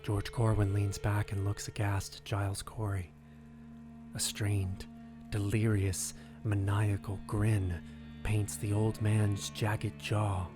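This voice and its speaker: A man delivering scripted dramatic narration for an audio drama in a grave, deliberate way with suspenseful phrasing. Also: fairly low pitch, little pitch movement. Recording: narration over prominent music.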